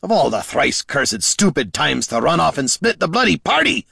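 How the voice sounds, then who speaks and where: A man voicing a gruff, exasperated leader of fantasy adventurers, barking his lines in a storybook. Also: medium pitch, sing-song, fast, a bit bright, no room sound, clean studio sound, pitched above the performer's own voice, exaggerated voice.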